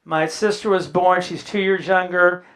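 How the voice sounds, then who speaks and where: A man in his sixties from the American Midwest stands before an audience and shares family stories. His voice sits high for a man. He tells anecdotes with a dry sense of humor and drops into quoted dialogue from his relatives. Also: fairly even intonation, moderate pace, fairly bright tone. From Indiana, US Midwest. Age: 60s. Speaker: guest speaker. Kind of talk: personal storytelling talk.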